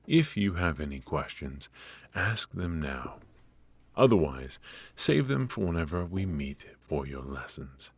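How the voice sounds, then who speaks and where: A man performs a stern royal mage for a fantasy ASMR roleplay, with an extremely low, husky voice. He is aloof and commanding, speaking in slow, formal phrases. The sound is dry and close. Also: sing-song, dry recording, heavily acted. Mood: formal, instructive. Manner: formal, imperious, measured.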